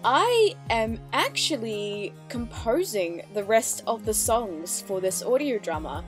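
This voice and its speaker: A woman's voice: on the high side, big pitch swings, takes it slowly. A woman giving a chatty, enthusiastic, casual reaction commentary as host of her video. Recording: music behind the voice.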